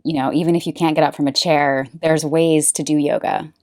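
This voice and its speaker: A woman from California who hosts a face yoga podcast, telling her story in a relaxed, chatty way with lots of fillers. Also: deep voice, brisk, dry recording, studio quality. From Bay Area, California. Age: late 20s or older. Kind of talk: casual personal storytelling monologue.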